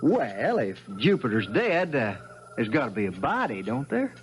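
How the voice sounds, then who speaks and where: An actor playing a scheming, falsely pious village villain with a folksy manner in a 1970s radio mystery drama. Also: male voice, mid-range voice, huge pitch swings, normal speed, faint music behind. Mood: slyly polite.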